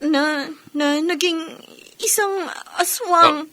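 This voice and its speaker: A woman's voice: very high voice, very slow, sharp tone, exaggerated voice. An actress playing a worried woman in a radio drama, hesitant and pleading as she seeks help.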